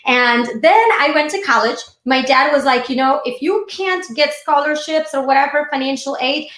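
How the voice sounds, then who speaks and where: Woman, very high voice, chatty and informal livestream speaker, raised in India and later US-based, roomy echoing sound. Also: moderate pace, fairly bright tone. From born and raised in India, moved to the United States at fifteen. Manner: chatty, addresses viewers directly. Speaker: speaker hosting a live online session. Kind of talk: informal motivational talk to livestream viewers.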